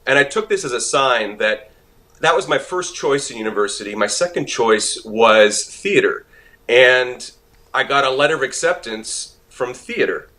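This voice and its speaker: A man's voice: medium pitch, expressive, a little slow, bright, forceful tone. A man from Montreal whose first language is French, chatting as an interview guest and laughing easily. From Montreal, Canada. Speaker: guest actor. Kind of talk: casual interview chat.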